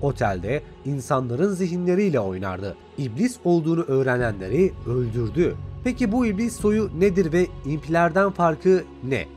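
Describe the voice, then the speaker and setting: A man's voice: a little high, very animated, normal speed, few pauses, darkish tone. A man reading scripted recap and review narration as a video narrator. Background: background music.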